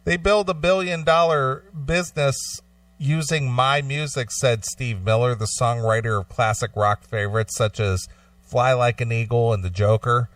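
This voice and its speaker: A man's voice: mid-range voice, steady tone, moderate pace, fairly bright tone, no room sound, recorded from a distance. A man co-hosting a radio talk show, reading news items aloud and chatting about them.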